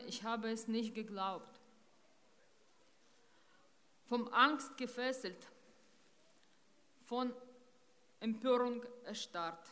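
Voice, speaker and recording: Middle-aged woman, rally speech, slow and solemn, long echo from the square. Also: on the high side, a bit bright. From Ukraine. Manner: slow, grave and deliberate. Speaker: rally speaker. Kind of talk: public speech. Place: outdoors in a town centre.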